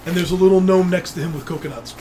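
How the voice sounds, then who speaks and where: A game master narrating a tabletop game in a relaxed, conversational way. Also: medium pitch, quick pace, heavy background noise.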